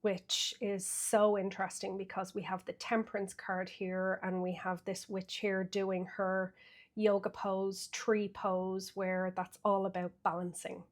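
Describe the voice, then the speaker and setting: A woman's voice: mid-range voice, flat delivery, a little slow. An Irish woman getting over a cold and a bit sniffly, chatting casually through a weekly tarot reading with many fillers. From Ireland. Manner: chatty, thinks aloud with fillers. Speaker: tarot reader.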